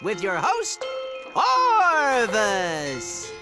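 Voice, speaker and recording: An actor playing a small, excitable, deferential robot assistant with a squeaky voice in a video game. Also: male voice, drawn-out words, music in the background, exaggerated voice. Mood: excited. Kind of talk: video game cutscene acting.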